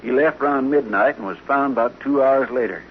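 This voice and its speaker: A man's voice: medium pitch, a little fast, natural voice. Guy doing a respectful cowboy sidekick deputy, earnest, old radio western, kinda muffled and hissy. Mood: subdued, earnest. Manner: respectful, earnest, eager to report. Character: deferential, earnest male deputy to a western marshal. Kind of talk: old-time radio drama acting. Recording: dull vintage radio recording, faint noise.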